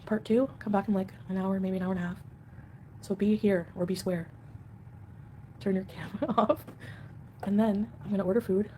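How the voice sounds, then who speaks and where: A woman's voice: medium pitch, fairly even intonation, normal speed, long pauses, mellow, slight background noise. A woman hosting a casual livestream at home, chatting with viewers and answering comments in a rambling, defensive way. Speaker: livestream host.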